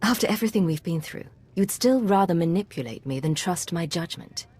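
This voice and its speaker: A woman's voice: lowish voice, animated, brisk, a little mellow, slightly rough. An actress playing a composed, loyal female secret agent in a video game, speaking in a controlled, serious and direct manner. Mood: reproachful, guarded. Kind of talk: cinematic video game dialogue.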